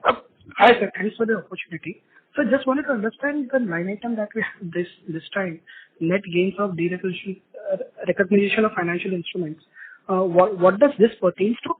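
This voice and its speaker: A man with an Indian accent asking questions as an analyst on an earnings call, halting and rephrasing, on a phone line. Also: sits high.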